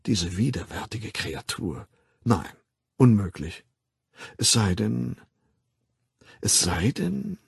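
A man voicing a squeamish, scheming civilised gentleman in an audiobook, polite, hesitant and puzzled. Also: lowish voice, big pitch swings, slow, gravelly, long pauses, slightly rough, no echo, studio quality, heavily acted. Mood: disgusted.

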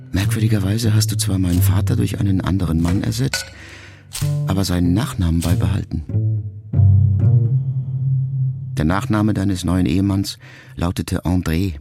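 Brooding middle-aged son, deep voice, measured confessional monologue, radio drama, music underneath. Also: male voice, vocal fry. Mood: wry, reflective. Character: reflective grown son, a famous writer, addressing his dying mother. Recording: music behind the voice.

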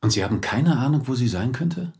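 A man acting in a German radio play as a courteous police inspector who questions in a measured, probing way. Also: mid-range voice, lively intonation, a little mellow, lightly acted.

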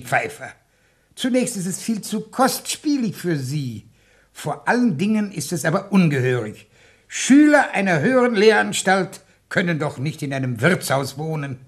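Pompous old headmaster in a radio comedy, fussy and scolding, highish voice, kinda rough. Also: male voice. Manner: pompous, admonishing, pedantic. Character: pedantic, authoritarian older male school headmaster. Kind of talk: comedic German radio play acting.

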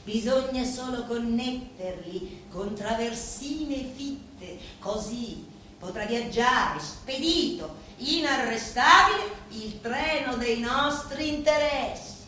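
An actress playing an intense, solemn woman in a radio drama, declaiming deliberately and emphatically. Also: fairly high pitch, lively intonation, pushed and bright, exaggerated voice. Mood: grand, insistent. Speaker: stage actress. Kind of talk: theatrical monologue in a radio drama. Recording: very echoey room with faint noise.

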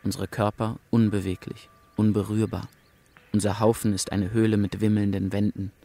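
A numb, bleak prisoner telling his story in a radio play. The voice is slightly low and very flat in pitch. He speaks in stark, detached fragments. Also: normal speed, creaky. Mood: desolate, detached. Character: male deportee, bleakly recounting camp arrival, numb. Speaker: actor narrating in a radio drama. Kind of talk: German radio drama monologue.

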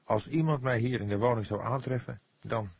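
A man's voice: mid-range voice, creaky, a little mellow, husky, dry recording. Een man die in een luisterboek een angstige jonge verdachte speelt, verdedigend, oprecht, emotioneel en soms haperend. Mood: shaken, emotional. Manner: halting, pleading, earnest. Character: anxious young male suspect, defensive and emotional. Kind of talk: audiobook character dialogue.

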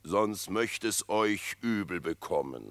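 A man's voice: deep voice, expressive, slow pace, a bit bright, no echo, studio quality, full character voice. A man playing a proud, blustering bear who serves as royal messenger, declaiming theatrically in a German radio play. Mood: loud, pompous. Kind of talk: German radio play acting of verse fable.

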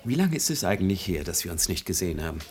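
A man's voice: low voice, very animated, very fast. An actor in a radio play playing a reserved, earnest and brooding man. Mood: friendly, reserved. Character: reserved, earnest, brooding son of a rich merchant. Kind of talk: German radio play acting.

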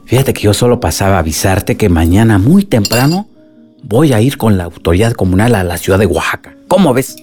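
A man's voice: lowish voice, very animated, fairly quick, a little mellow, faint music behind. An actor with a Mexican accent playing a kindly, consoling old village grandfather in a radio drama. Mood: friendly.

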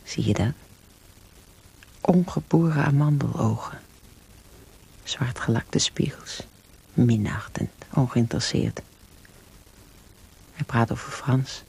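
An actress playing a bitter, grieving young married woman in a wartime camp, speaking a dramatic, often hushed inner monologue in a radio drama. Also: rumbling low, slow, creaky, long pauses, slightly rough, heavily acted, poor audio. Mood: scornful.

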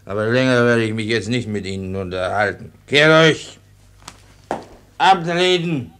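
Slow, halting, cynical army chaplain. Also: male voice, mid-range voice, lively intonation, a bit bright, a little hiss or hum. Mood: curt, commanding. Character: jovial, tipsy, gambling army chaplain, cynical and commanding. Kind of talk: German radio play acting.

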